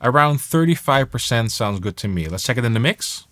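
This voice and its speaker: A man presenting a software tutorial on music production in a casual, enthusiastic and emphatic way. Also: medium pitch, expressive, brisk.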